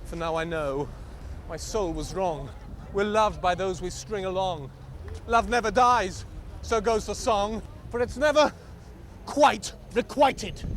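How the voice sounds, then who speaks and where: A man reciting rhyming poetry as a performance poet, in a theatrical, deliberate way with dramatic pauses. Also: high-pitched, a little hiss or hum.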